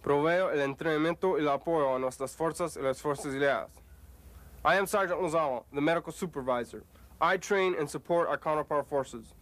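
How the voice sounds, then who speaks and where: A man's voice: on the high side, fairly quick, a bit bright. A man serving as an army medical sergeant, reciting a formal scripted introduction of his duties.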